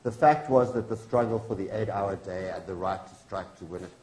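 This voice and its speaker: In an echoey room, a man gives voice to a grieving widow in a staged political drama. The voice is low, and the delivery is solemn, rhetorical and defiant, pressing each point like an accusation. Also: brisk, a little mellow, close to an everyday voice. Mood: grieving, bitter. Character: grieving, defiant widow of a hanged labor activist. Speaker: professor reading a play. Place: indoor launch event venue.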